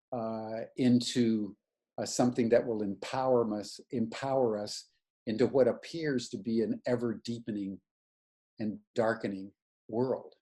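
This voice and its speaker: A man's voice: mid-range voice, mellow. A man giving an earnest, searching spiritual lecture over a video call, often restarting his sentences. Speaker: webinar lecturer.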